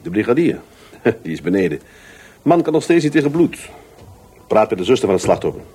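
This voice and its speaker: An actor playing a blunt, wry police investigator in a radio drama, offhand and musing, with a Dutch accent. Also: male voice, fairly low pitch, big pitch swings, a little fast, warm tone, slightly rough. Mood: dry, matter-of-fact. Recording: faint ambient noise behind.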